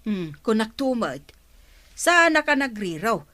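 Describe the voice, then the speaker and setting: A woman's voice: fairly high pitch, very animated, a little slow, bright, forceful tone, heavily acted. A woman acting an inquisitive, gossiping friend in an Ilocano radio drama. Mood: puzzled.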